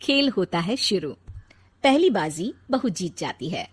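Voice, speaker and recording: Animated woman narrating a story. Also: mid-range voice, unhurried, husky.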